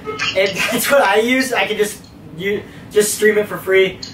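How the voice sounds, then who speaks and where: A young man with a high, light voice, talking as a podcast host in a loose, rambling, cursing style. The sound bounces around a small echoey room, and there's a faint hiss underneath. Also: a little slow. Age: young adult. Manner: rambling, profane, energetic. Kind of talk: casual chat.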